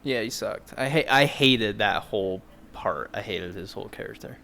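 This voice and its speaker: A man's voice: lowish voice, animated, moderate pace, creaky, pushed and bright, a little hiss or hum. A man hosting a podcast in casual, joking chat, teasing and rambling as he goes. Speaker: podcast host.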